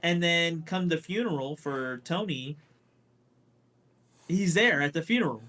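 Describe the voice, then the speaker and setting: A man's voice: a little high, measured pace, pushed and bright. A man co-hosting a casual pop culture podcast, opinionated and swearing freely as he talks. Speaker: podcast co-host.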